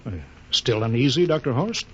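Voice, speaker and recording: An actor playing a steady, commanding expedition captain in a 1950s radio drama, speaking with crisp authority. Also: male voice, mid-range voice, moderate pace, close to an everyday voice. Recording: dull, noisy 1950s radio recording.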